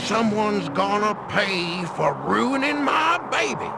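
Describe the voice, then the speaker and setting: Greedy game villain, Southern drawl, slow, animated, pompous bluster. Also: male voice, high voice, gravelly, very noisy, heavily acted. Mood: outraged.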